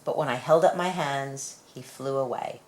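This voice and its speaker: Low-voiced woman reading a children's storybook. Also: normal speed, a little mellow. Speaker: storybook reader. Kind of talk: children's picture book read-aloud.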